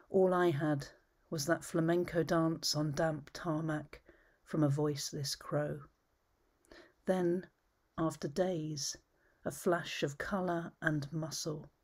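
Low, husky woman reading poems. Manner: measured, thoughtful reading with soft whispered phrase endings. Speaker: poet. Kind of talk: poetry reading. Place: home study with bookshelves, indoors.

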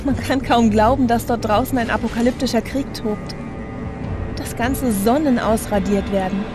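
A woman's voice: on the high side, moderate pace, over music, close to an everyday voice. An actress playing a determined, dry-witted young woman pilot in a science-fiction audio drama. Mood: earnest resolve. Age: 20s.

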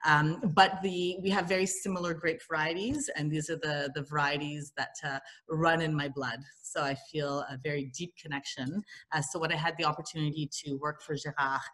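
A woman's voice: sits low, fairly even intonation, fairly quick, fairly bright tone, poor audio. A woman in her forties from the south of France with a West Coast Canadian accent, guiding a wine tasting over a video call, chatty and laughing often. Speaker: wine brand director, guest. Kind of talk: online wine tasting talk. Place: home room. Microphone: laptop webcam video call.

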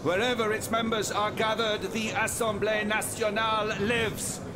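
Male actor as revolutionary orator, high male voice, solemn, resolute, declamatory, noisy ambience behind, video game drama. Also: little pitch movement, close to an everyday voice. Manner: declamatory, deliberate, oath-taking.

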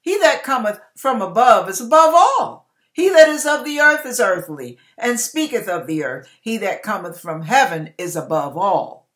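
An older woman in about her sixties gives a dramatic Bible reading as a preacher, emphatic and deliberate, stretching words and pausing between phrases. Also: mid-range voice, big pitch swings, a bit bright. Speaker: online preacher reading scripture aloud. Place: small indoor room with curtain behind.

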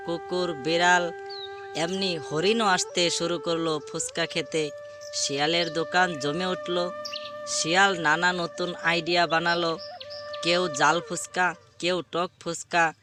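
A man narrating a scripted moral animal story as a storyteller. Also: on the high side.

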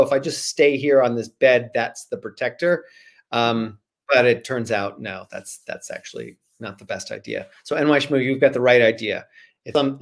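A man in his forties speaking as a guest author on a video call, chatting casually and reading from a storybook. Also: mid-range voice. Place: small room at home, indoors. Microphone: video call, computer at arm's length.

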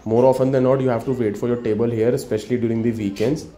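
A man's voice: mid-range voice, fairly even intonation, quick pace, warm tone. A man hosting a food vlog, explaining the calories of dishes plainly as he films them in a restaurant. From India. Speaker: food vlogger. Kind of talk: casual food review narration. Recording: restaurant background noise.

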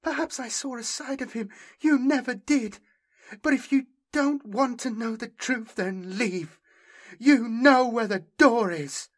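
Male narrator as young woman survivor, raised pitch, bitter, defiant, urgent clipped phrasing, audiobook drama. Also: a little slow.

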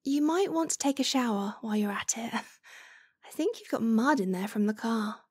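A woman playing a doting, teasing motherly figure in a soft-spoken ASMR roleplay, coaxing and playful with breathy near-whispers. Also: fairly high pitch, expressive, moderate pace, no echo.

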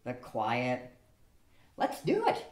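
A man's voice: mid-range voice, huge pitch swings, extremely slow, exaggerated voice. An older man playing Elmer, an earnest, rueful husband, in an amateur radio play, reading plainly and wistfully into a stand mic. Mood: hopeful. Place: small studio with a draped backdrop. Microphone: stand mic at chest height. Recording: echoey room.

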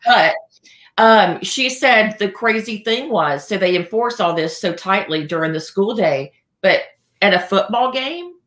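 A woman's voice: medium pitch, lively intonation, measured pace, a bit bright, roomy sound. A woman in her forties co-hosting a talk show, chatting casually and telling anecdotes over a video call.

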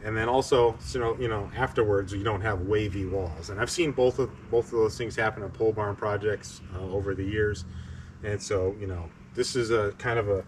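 A middle-aged man explaining a building project in casual, hesitant short phrases with frequent pauses. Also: on the low side, fairly even intonation. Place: pole barn interior and outdoors beside it. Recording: distant mic with faint ambient noise.

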